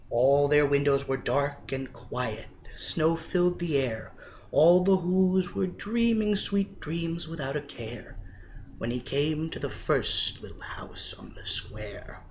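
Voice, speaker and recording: A man with a slightly high voice narrates a rhyming children's tale at a slow pace. His reading is theatrical and emphatic, swelling and dropping for effect. There is faint noise behind him. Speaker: storybook reader.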